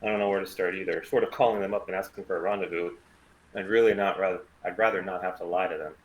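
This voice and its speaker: A man's voice: low voice, steady tone, fast, muffled recording, close to an everyday voice. A man playing a wry mercenary starship pilot in a script table read, with a dry, casual, sardonic way of talking. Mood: weary, thoughtful.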